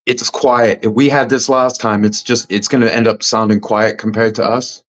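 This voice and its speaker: A loud-voiced man hosting a casual panel discussion over a video call. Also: flat delivery, normal speed. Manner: loud, booming voice. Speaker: host. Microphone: computer mic on a video call.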